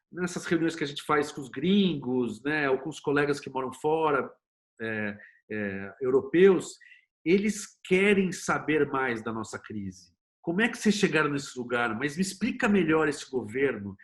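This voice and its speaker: Brazilian male interview guest, somewhat higher-pitched, informal and emphatic in casual conversation. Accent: Brazilian Portuguese. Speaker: interview guest, TV producer and screenwriter.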